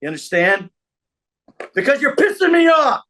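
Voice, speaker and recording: A man's voice: very high-pitched, very animated, moderate pace, fairly bright tone, heavily acted. A man playing a foul-mouthed, irritable tough guy, belligerent and grumbling as he improvises comic threats. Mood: irritated, threatening.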